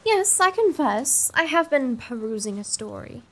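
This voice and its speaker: A woman's voice: high-pitched, very animated, measured pace, fairly bright tone, exaggerated voice. A woman playing a fretful, theatrical young lady in a gothic comedy audio drama, with old-fashioned, sighing diction. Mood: dreamy. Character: restless, fretful young gothic-novel-loving lady companion.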